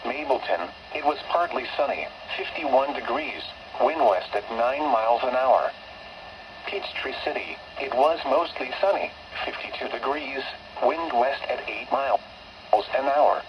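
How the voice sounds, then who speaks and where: A computer-generated male voice reading a weather broadcast in a synthetic, evenly spaced delivery, with odd pitch jumps on numbers. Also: very animated, measured pace. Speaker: automated weather radio announcer. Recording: muffled weather radio receiver with static.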